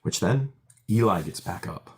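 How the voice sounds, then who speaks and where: Deep-voiced man in his forties, emphatic. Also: lively intonation, a little slow, slight background noise. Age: 40s. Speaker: streamer recounting a court hearing. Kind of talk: casual livestream talk.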